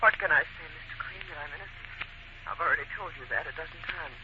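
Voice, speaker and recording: A woman's voice: deep voice, quick pace, natural voice. Actress as a melodramatic woman, old radio mystery. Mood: wounded. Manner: emphatic, theatrical. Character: women suspects in a murder mystery, melodramatic, wounded. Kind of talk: 1940s radio acting. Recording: muffled, hissy 1940s radio recording.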